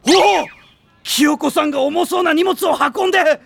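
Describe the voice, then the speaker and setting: An actor playing a loud, hot-blooded teenage boy in an anime audio drama, over-dramatic and easily tongue-tied. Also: very high voice, normal speed, creaky, no echo, full character voice. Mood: elated. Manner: over-dramatic, stammering when nervous.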